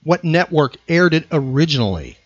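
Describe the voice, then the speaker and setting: A man's voice: a little high, very animated, normal speed, breathy edge, dull audio. A man hosting a chatty trivia podcast in a playful, teasing manner. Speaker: podcast host.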